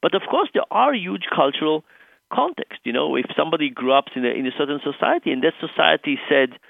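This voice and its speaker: An Israeli-accented professor, a man, explains his research on a radio show, coming through a dry, tinny phone line. His voice is gravelly and he thinks aloud as he goes, clearing his throat between ideas. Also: fairly high pitch, animated, fast, no room sound. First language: Hebrew. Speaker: author and professor guest.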